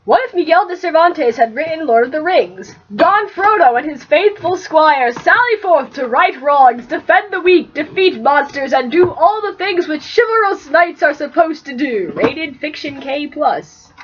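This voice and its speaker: Young woman w/ really high voice, giggly n playful, chatting n reading out loud, kinda muffled lo-fi sound. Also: animated, a little slow, few pauses. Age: young adult. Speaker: co-host reading fan fiction aloud. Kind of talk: casual chat and amateur reading aloud. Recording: muffled, noisy lo-fi home recording.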